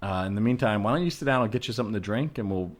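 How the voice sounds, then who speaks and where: A man's voice: on the low side, animated, rapid-fire, close to an everyday voice. A man playing an uneasy, reluctant but helpful neighbor, hesitant and placating, in improvised audio comedy.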